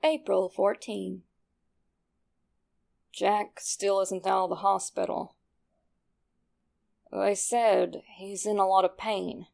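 A woman voicing a smug, cold young male killer reading out his horror journal in a sinister, drawn-out way. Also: medium pitch, level tone, gravelly, darkish tone. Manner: sinister, self-satisfied, drawn-out delivery. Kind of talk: horror story journal read aloud.

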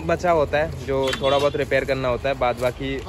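A man's voice: medium pitch, fairly even intonation, brisk. A young man filming a casual shop-tour vlog on his phone, asking a seller for prices and repeating each answer back to the camera. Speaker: vlogger touring an electronics parts shop. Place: busy street-side electronics shop. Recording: outdoor phone recording with crowd noise. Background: street crowd and traffic.

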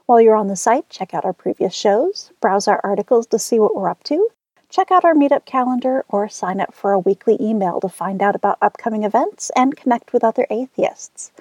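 Mellow, husky woman radio host. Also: fairly high pitch, animated, a little fast, no echo. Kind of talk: scripted radio announcing.

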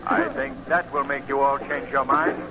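A man's voice: mid-range voice, fairly even intonation, moderate pace, close to an everyday voice. Guy acting mysterious male vigilante in old radio show, cool mocking menace, muffled and hissy. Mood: cool, taunting. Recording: noisy, muffled 1940s radio recording.

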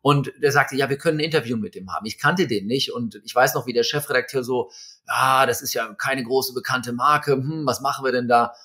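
A man's voice: mid-range voice, brisk, pushed and bright. Hesitant German-speaking man in his fifties. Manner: hesitant, many fillers, storytelling. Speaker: watch magazine editor, guest. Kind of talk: conversational interview. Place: home room with bookshelves and string lights.

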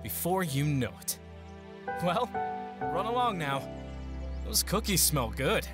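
A man's voice: fairly high pitch, big pitch swings, takes it slowly, loud music behind the voice. A man playing an earnest, hesitant young farm lad, a protective older brother, in an audio drama. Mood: affectionate.

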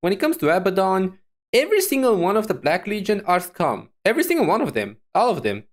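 Vtuber woman reacting, lively up and down voice, thinks out loud and repeats herself. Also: mid-range voice, fast. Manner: hesitant, repetitive, animated musing. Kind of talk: casual reaction commentary.

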